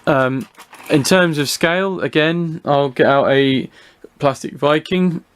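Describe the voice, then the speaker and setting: A man's voice: fairly high pitch, slow, clear, resonant tone. A British man giving a casual, unscripted hobby review, talking through what he shows in a hesitant, rambling way, thinking aloud.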